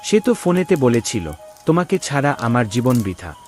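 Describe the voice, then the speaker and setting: A man acts a young army captain for a cartoon drama, proud of his country and romantic at heart. His mid-pitched voice moves animatedly, with good humour and faint music behind it. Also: big pitch swings, moderate pace, lightly acted. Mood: teasing, proud. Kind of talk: cartoon story voice acting.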